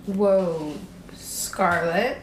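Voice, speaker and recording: A young woman playing an earnest college student in an audio drama, talking casually in a halting, questioning way. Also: lowish voice. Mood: stunned. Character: earnest, hesitant young college student, thief's incredulous friend. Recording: roomy echo, faint background noise.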